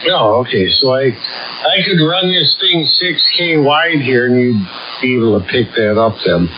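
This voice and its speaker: Slow, lively-pitched man on hissy radio. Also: muffled recording. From United States. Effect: sideband radio transmission. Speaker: amateur radio operator. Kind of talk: casual radio chat. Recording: hissy sideband radio with static. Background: radio hiss.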